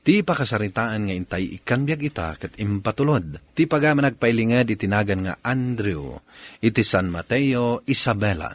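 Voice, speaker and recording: Animated Ilocano male drama host. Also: on the low side, normal speed. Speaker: radio drama host reading a listener's letter. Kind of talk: dramatic scripted narration.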